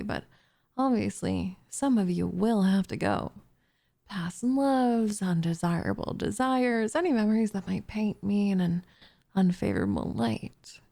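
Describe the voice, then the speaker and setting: A woman's voice: medium pitch, gravelly, dark tone. A woman voicing a doting, possessive witch in an intimate ASMR roleplay, cooing and teasing with smug condescension, often slipping into a whisper close to the microphone. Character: doting, possessive young witch turning captive into pet cat.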